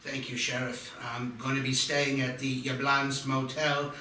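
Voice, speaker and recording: A man's voice: mid-range voice, monotone, unhurried, bright, forceful tone. A man at a screenplay table read playing an earnest, insistent psychiatrist and war veteran in his fifties. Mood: earnest, calmer. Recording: noisy, echoey room, distant mic.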